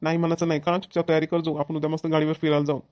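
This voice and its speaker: A man's voice: a little high, little pitch movement, very fast, darkish tone, lightly acted. A man playing an easygoing, playful village husband in a cartoon, with a rural Maharashtrian accent. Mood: playful, coaxing. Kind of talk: cartoon family dialogue.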